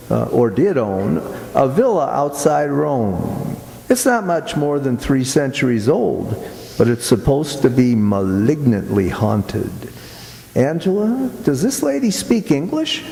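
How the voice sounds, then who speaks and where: A man playing a courteous, eager young newlywed English gentleman in a radio play, polite and wry in period diction. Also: mid-range voice. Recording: echoey hall with clear background noise.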